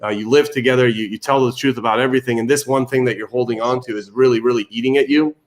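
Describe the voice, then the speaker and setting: A man from Iran with a mid-pitched voice talks as a family therapist. He gives empathetic advice and reassures the listener, often halting and doubling back on his words. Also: steady tone.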